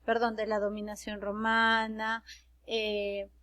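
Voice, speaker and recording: A woman's voice: mid-range voice, monotone, slow, fairly bright tone. A woman teacher with a Peruvian Spanish accent giving a recorded class, explaining patiently with frequent fillers and self-corrections. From Peru. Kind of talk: recorded online class lecture.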